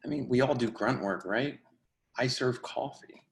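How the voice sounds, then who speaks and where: A man's voice: medium pitch, normal speed, natural voice. A man reading the part of a supportive, level-headed friend in a play, casual and plainspoken, over a video call. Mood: concerned, casual. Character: supportive, level-headed writer friend, works serving coffee.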